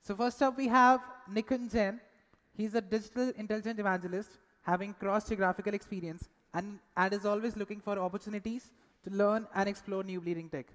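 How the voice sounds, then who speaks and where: A woman's voice: mid-range voice, steady tone, fairly quick. Casual woman host in a reverberant hall. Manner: casual, loose with fillers. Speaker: event host. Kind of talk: introducing a speaker. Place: conference hall.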